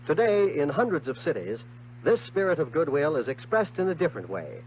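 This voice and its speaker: A man's voice: fairly high pitch, big pitch swings, a little fast. A man with an American accent reading a script as a 1950s radio announcer. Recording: muffled, noisy 1950s radio recording.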